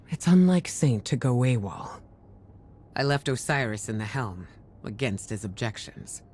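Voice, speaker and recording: A woman playing an authoritative, concerned commander in a sci-fi video game, measured, formal and serious. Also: a bass voice, a little mellow, a little hiss or hum.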